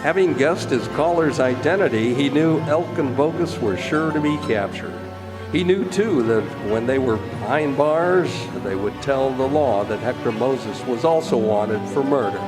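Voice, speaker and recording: A man's voice: mid-range voice, normal speed. Dramatic male radio narrator over music. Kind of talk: dramatic scripted narration, old-time radio style. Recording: reverberant hall with music.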